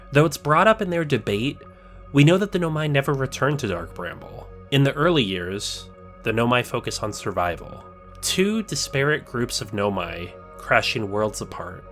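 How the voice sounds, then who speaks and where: A man narrating a scripted video essay in a measured, explanatory way. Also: mid-range voice, very animated, a bit bright, faint music behind.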